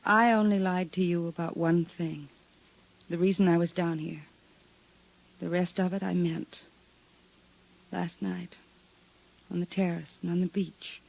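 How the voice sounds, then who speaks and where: An actress playing a weary, guarded woman stranded abroad in a 1950s radio drama, speaking softly and pleadingly. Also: on the low side, steady tone, a little slow, creaky, pauses often, low-quality recording. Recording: old radio broadcast recording.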